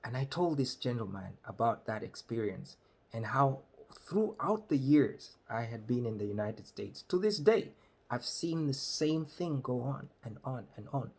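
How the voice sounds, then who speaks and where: Middle-aged man from Malawi, husky voice, animated storyteller at a webcam. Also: medium pitch, a little slow. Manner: animated, gestures a lot while telling. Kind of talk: personal storytelling. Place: small indoor room.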